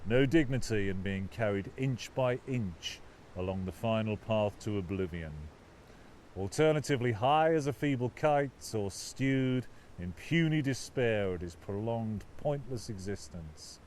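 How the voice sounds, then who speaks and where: A man reading his own novel aloud as scripted audiobook narration, with a dramatic, expressive literary delivery. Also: fairly low pitch, a little slow, slight background noise.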